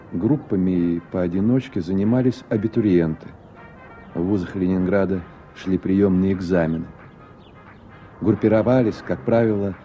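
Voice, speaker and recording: A man reading scripted literary narration for a radio play, measured and with deliberate pauses. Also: low voice, warm tone. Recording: dull old radio recording, faint music. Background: faint music.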